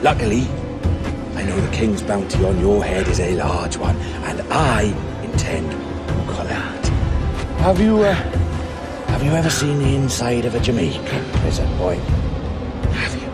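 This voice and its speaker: Gloating pirate bounty hunter, theatrical, swooping pitch, game cutscene, loud music behind. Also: male voice, big pitch swings, measured pace. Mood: mocking menace. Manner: taunting, theatrical. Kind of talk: video game cutscene acting.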